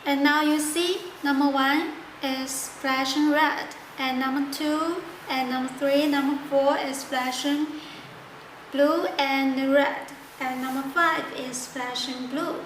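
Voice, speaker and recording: A high-voiced woman demonstrates a product step by step. She speaks slowly in halting, simple non-native phrasing. The recording has clear room echo. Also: fairly even intonation. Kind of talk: step-by-step tutorial narration. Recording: echoey room with loud background noise.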